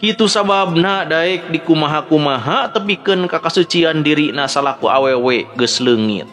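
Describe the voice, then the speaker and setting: A man's voice: a little high, normal speed, fairly bright tone. A man with a Sundanese accent narrating a dramatic story as a storyteller. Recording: background music under the voice. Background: music.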